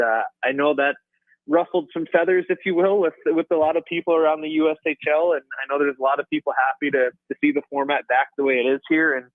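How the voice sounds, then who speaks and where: A man's voice: medium pitch, dry recording. Man on a dull phone line. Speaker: guest hockey reporter. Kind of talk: casual sports radio interview. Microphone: phone call.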